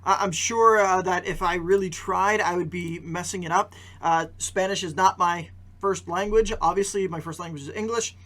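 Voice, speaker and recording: High-pitched man hosting a podcast. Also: steady tone, moderate pace, few pauses, a bit bright. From Canada. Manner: rhetorical questions and emphatic repetition. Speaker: podcast host. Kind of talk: conversational news commentary.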